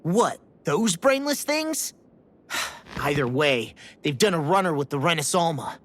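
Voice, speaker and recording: An actress playing a blunt, feisty young adventurer in a video game, colloquial and indignant. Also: female voice, deep voice, sing-song, measured pace, heavily acted. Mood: irritated.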